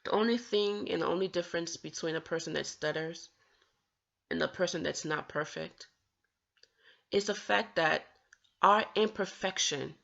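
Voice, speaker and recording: A woman speaks slowly and earnestly to her viewers, her voice gravelly yet bright. She stumbles and restarts on a word now and then but keeps an encouraging tone. The recording sounds dull and lo-fi. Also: lowish voice. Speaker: vlogger who stutters. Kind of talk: personal talk to camera.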